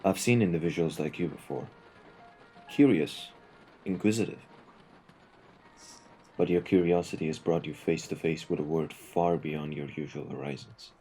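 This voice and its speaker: A man acting out a very low-voiced gentleman of the mafia for an audio roleplay. He is cultured and courteous, choosing formal, eloquent words in a measured way. A calm menace sits under the politeness, with faint music playing behind him. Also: poor audio. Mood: probing, ominous.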